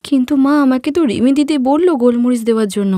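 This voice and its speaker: A woman acts a meek young daughter-in-law in a cartoon family drama. The voice is high and close, with no room sound. The tone is gentle, plaintive and pleading. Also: fast talker, dry recording, lightly acted. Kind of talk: Bengali cartoon story voice acting.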